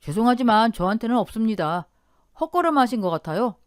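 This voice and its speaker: A woman's voice: a little high, very animated, fast, dry recording, clean studio sound. A woman voicing a real estate broker who hesitates and talks evasively. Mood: uneasy.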